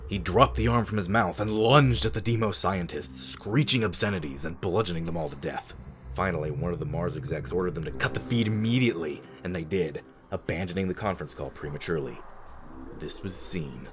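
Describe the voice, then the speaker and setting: A man with a low voice narrates a dramatic story in a wry, informal way. Faint ambient noise sits behind his voice. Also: moderate pace.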